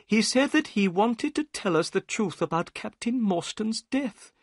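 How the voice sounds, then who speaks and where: A man voicing a strange little bald man in an audiobook, nervous, excitable and fussy. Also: sits high, unhurried, no echo, studio quality, pitched above the performer's own voice, full character voice. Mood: anxious storytelling. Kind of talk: audiobook character voice.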